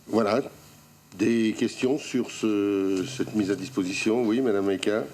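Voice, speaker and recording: A man's voice: fairly low pitch, steady tone, unhurried, darkish tone. A man chairing a council meeting, speaking spontaneously as he takes questions and clarifies.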